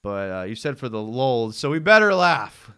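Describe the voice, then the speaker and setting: A man's voice: medium pitch, big pitch swings, normal speed, a bit bright, clean tone. A young man hosting a casual live fan show, rambling in a laid-back way with lots of fillers, his voice tired and starting to go from talking so long. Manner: laid-back, rambling, frequent "dude" and "I mean". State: voice going hoarse from long talking. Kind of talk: casual live-stream chat.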